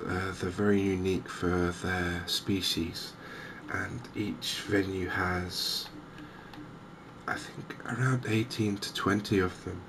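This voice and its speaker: Softly spoken man, low and creaky. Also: flat delivery, noisy background. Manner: hesitant, unhurried, gentle. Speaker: host of a cozy gaming channel. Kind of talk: softly spoken ASMR game commentary.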